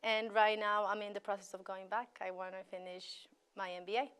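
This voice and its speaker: A woman's voice: medium pitch, level tone, fairly quick, fairly bright tone, clean tone. A woman in her twenties from Ecuador, answering interview questions conversationally as a guest. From Ecuador, moved to the US at twelve. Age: 20s. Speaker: interview guest, committee member.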